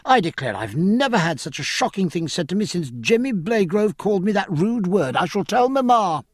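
A man voicing a silly, excitable young woman who is petulant and easily shocked. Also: moderate pace, dry recording, studio quality. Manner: high, breathless, whining.

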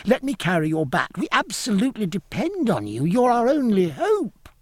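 Audiobook role: man as breathless boy midshipman, high-pitched, swooping, urgent and pleading, eager to please his elders. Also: moderate pace, dry recording, heavily acted. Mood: pleading, excited. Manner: urgent, excitable, deferential pleading. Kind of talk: audiobook character dialogue.

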